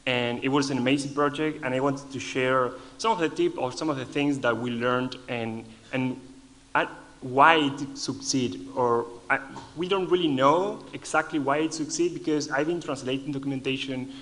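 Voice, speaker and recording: A man's voice: mid-range voice, moderate pace, a bit bright. A man from Argentina with an Argentinian accent, a native Spanish speaker, giving a lightning talk at a conference and often restarting his phrases.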